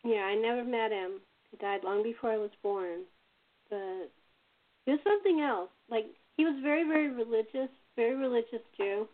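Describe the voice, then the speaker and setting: A woman's voice: a little high, normal speed. A woman hosting a casual chat, telling stories in a conversational way. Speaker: host and playwright. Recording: thin phone-like call audio.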